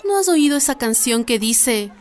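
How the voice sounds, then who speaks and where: Woman playing an outspoken high-voiced village friend. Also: expressive, moderate pace, a little mellow, slight background noise, natural voice. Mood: firm, persuasive. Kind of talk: radio drama acting.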